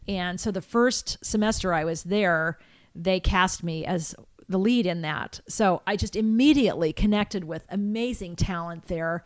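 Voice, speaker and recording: Woman, middle-aged, American, interview guest, chatty, reflective, slightly low voice, dry close sound. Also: dry recording. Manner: chatty, reflective, with frequent restarts and fillers. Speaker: interview guest, soprano singer. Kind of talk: relaxed conversational interview.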